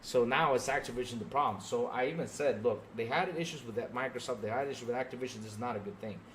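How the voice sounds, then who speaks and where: A man's voice: medium pitch, fast, a little hiss or hum, poor audio. A middle-aged man hosting a podcast, ranting about gaming to a live chat in an emphatic, sarcastic way. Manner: emphatic, sarcastic, mimics fans mockingly. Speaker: podcast host.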